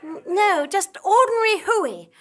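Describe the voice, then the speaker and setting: A very high-pitched earnest heroine. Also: female voice, lively intonation, measured pace. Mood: defiant. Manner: earnest, declamatory. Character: earnest idealistic young heroine, righteous, blithely ruthless. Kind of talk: live comedy stage acting.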